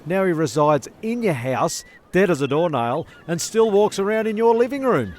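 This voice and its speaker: A man playing a matter-of-fact bush castaway in a comedy audio drama, deadpan about absurd things. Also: high voice, moderate pace.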